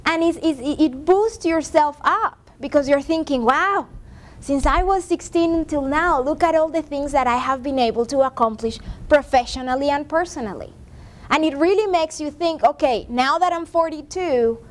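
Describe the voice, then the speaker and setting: A woman in her forties presenting a professional development workshop to a seated class, talking conversationally and often checking in with "right?". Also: high-pitched. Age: 40s. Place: classroom with a seated audience, projector screen. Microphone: far room camera.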